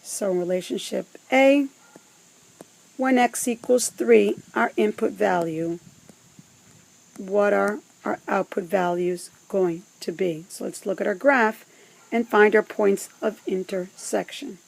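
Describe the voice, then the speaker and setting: A woman teaching math step by step, speaking in a deliberate, instructive way with pauses between phrases. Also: mid-range voice, creaky, poor audio. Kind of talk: scripted step-by-step instructional narration.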